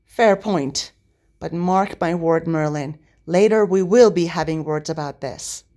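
A woman's voice: low-pitched, unhurried, dark tone. A woman voicing a proud, grumpy young male king in a story, with an indignant, commanding, snappish manner. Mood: stern.

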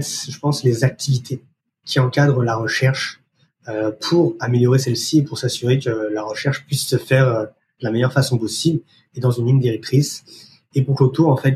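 A man's voice: medium pitch, a little mellow, a little hiss or hum. A man from France with a French accent, answering interview questions in a hesitant way with many fillers. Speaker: research operations manager.

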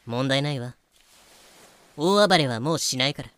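A man's voice: mid-range voice, expressive, normal speed, fairly bright tone, smooth, no echo, pitched below the performer's own voice, natural voice. A man voicing a bold, easygoing, scheming female pirate captain with breezy, self-assured feminine phrasing in a fan audio drama. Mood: calm, insistent.

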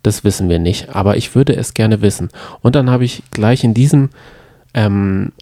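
A man with a low, mellow voice talks casually as a podcast co-host. He rambles and often leaves sentences unfinished. The sound is close and dry. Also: moderate pace, no echo. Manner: rambling, trails off mid-sentence. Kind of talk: casual podcast chat about reality TV.

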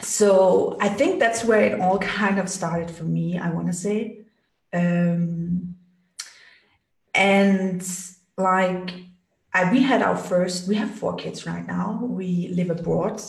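A woman from Denmark talks slowly and haltingly, full of ums, likes and restarts, her voice a touch low for a woman. She sits a little back from the mic in an echoey room. She sounds like a guest on a casual interview show. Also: fairly even intonation, vocal fry, distant mic. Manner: hesitant, many fillers and restarts. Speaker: podcast guest, business strategist.

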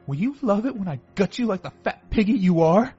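A man's voice: sits high, sing-song, a little fast, dark tone, highs cut off, soft background music, voice pushed up. A man voicing a crazed young cheerleader woman who taunts and threatens in a horror audio drama. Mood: vicious taunting. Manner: mocking, threatening.

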